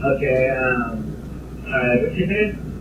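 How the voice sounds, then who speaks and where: A man's voice: mid-range voice, lively intonation, slow, background noise. Muffled, echoey male caller chatting casually. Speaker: car-buying customer calling in. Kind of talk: casual phone chat. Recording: muffled, echoey recorded phone call.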